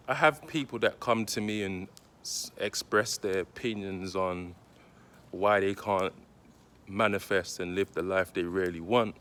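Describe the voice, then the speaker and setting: A man talking directly to his viewers, giving casual spiritual advice in a slow, deliberate, reflective way with pauses. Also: on the low side, a bit bright. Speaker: spiritual content creator.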